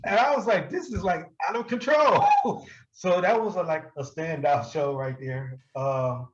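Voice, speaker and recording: An American man telling stories casually in an interview, profane and quick to laugh. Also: lo-fi sound. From Milwaukee, United States. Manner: animated, profane, breaks often into high-pitched laughter. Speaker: hip-hop artist being interviewed. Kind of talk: casual anecdotal storytelling.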